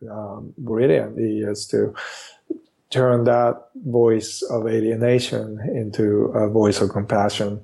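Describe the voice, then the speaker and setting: Slow, gravelly-voiced man, halting speech. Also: on the low side, warm tone. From born in the US, raised partly in Japan. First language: Japanese. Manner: halting, many fillers and restarts. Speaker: author guest. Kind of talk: podcast interview.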